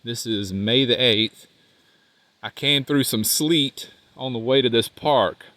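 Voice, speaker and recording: A man's voice: mid-range voice, slow pace, no echo. Nasal, congested man vlogging outdoors. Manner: rambling, easygoing, trails off quietly. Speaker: van life vlogger. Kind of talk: casual vlog talk while walking. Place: outdoors by a riverbank park.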